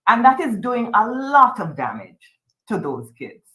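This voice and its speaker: A woman's voice: fairly high pitch, big pitch swings, unhurried, pushed and bright. Emphatic Caribbean woman in an echoey room. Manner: earnest, emphatic, speaks with conviction. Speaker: book club discussion leader. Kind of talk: casual panel discussion.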